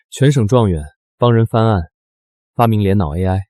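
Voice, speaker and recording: A man's voice: lowish voice, a little slow, dark tone, dry recording, natural voice. A man playing a doting, teasing, protective young suitor in a short drama. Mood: teasing, tender.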